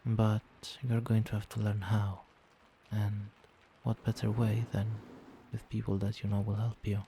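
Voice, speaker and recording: A man playing a doting, gentle vampire boyfriend in a soft-spoken ASMR roleplay, tender, reassuring and coaxing. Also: on the low side, completely flat delivery, unhurried, gravelly, a little hiss or hum. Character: doting, gentle male vampire boyfriend soothing partner to sleep.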